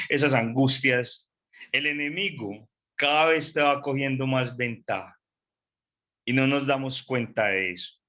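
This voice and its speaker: Preacher guy, stuffy from a cold, slow and drawn out, bright pushy voice, keeps clearing his throat. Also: medium pitch. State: congested with a cold. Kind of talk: sermon.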